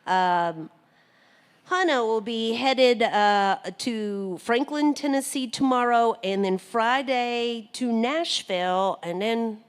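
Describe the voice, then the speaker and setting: A woman's voice: on the high side, slow, clear, resonant tone. A woman hosting a live radio show, joking and folksy with plenty of fillers.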